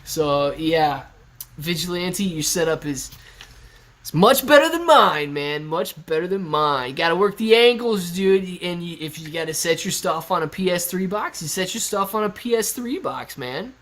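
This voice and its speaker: Male, 30s, slightly high voice; laid-back live-stream host reading chat from home. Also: lively intonation, moderate pace, a bit bright. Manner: laid-back and chatty, laughs often, reads chat aloud. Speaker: stock livestream host. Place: sitting in his living room. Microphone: laptop on a kitchen stool at eye level.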